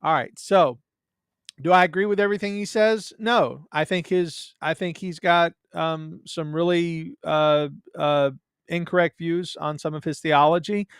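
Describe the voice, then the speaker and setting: A man with a Southern US accent chats as a guest, poking fun at himself as he tells stories. His voice sits a little high and comes across smooth and resonant. The sound is close and dry, with no room in it. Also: steady tone, unhurried, dry recording. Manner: self-deprecating, joking storyteller. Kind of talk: casual chat.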